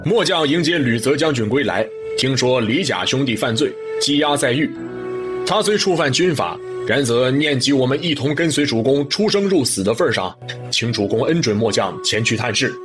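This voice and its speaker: A man's voice: medium pitch, expressive, normal speed, music in the background, lightly acted. A man playing a loyal, respectful military officer of ancient China, with formal, deferential speech. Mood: earnest, pleading.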